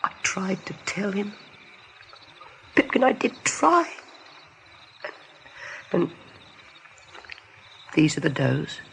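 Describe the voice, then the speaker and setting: Slow, anxious little rabbit. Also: female voice, mid-range voice, very animated, creaky, a little hiss or hum, heavily acted. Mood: sorrowful. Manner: hesitant, plaintive. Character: small, anxious, sensitive young male rabbit seer.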